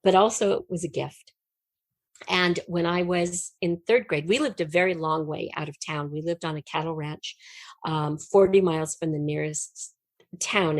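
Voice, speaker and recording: Lower-pitched woman telling her story. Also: no echo. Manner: expressive storyteller, acts out remembered dialogue. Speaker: interview guest, writer. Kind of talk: personal storytelling in conversation.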